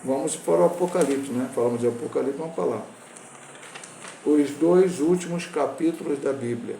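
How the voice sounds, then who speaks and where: A Brazilian man in his sixties, a pastor teaching a Bible lesson, talking in a halting way with restarts and self-corrections. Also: mid-range voice, gravelly, warm tone, echoey room, slight background noise, rough recording. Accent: Brazilian Portuguese. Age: 60s.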